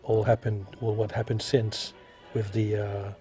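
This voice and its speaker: A man from France with a French accent, answering interview questions as an artist, halting and repeating himself as he searches for words. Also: lowish voice, flat delivery, warm tone, soft background music. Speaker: visual artist being interviewed.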